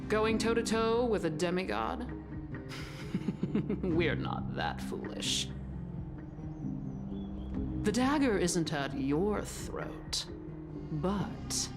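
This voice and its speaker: An actress playing a cruel, taunting female assassin in a video game, with a theatrical, drawn-out, sneering delivery. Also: mid-range voice, lively intonation. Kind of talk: dramatic video game voice acting. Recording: loud music under the voice. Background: game music.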